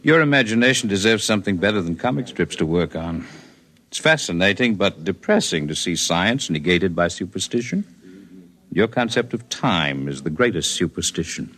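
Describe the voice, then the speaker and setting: An actor playing a calm, scholarly alien healer man in a 1950s radio drama, measured and precise in speech. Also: deep voice.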